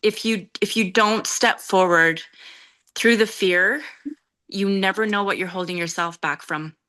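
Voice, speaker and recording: Woman, croaky with a cold, sincere and searching, bright tone, video call. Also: mid-range voice, a little slow. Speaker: team member on a call.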